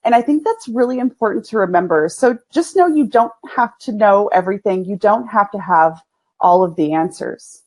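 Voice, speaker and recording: A woman in her forties with an East Texas accent, a guest talking candidly and folksily in a casual interview, quick to laugh. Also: medium pitch, expressive, a little mellow. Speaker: agency owner, guest.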